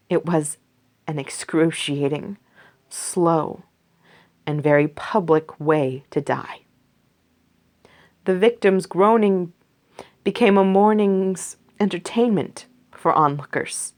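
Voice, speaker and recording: A woman reading a scripture story aloud for a church congregation, slow and measured. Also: fairly low pitch, darkish tone. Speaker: scripture reader. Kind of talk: scripted read-aloud narration.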